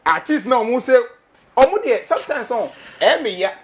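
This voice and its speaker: A Ghanaian man with a high, lively voice hosts a studio talk show. He argues passionately in Ghanaian-accented English, hammering home his questions, and slips easily into Twi. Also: moderate pace, slight background noise. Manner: passionate, emphatic, repeats questions, mixes in Twi. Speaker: host.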